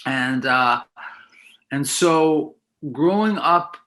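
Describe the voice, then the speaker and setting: Bright-voiced reflective male filmmaker. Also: on the high side, drawn-out words, low-quality recording. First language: Italian. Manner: reflective, many restarts and repeated words. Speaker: filmmaker guest. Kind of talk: casual interview chat.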